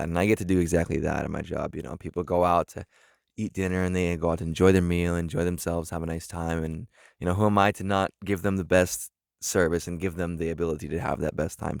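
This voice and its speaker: Young man, 20s, earnest first-time vlogger: low, gravelly voice, stumbling restarts, dry close sound. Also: dry recording. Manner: earnest, halting, many fillers and restarts. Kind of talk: casual talk to camera.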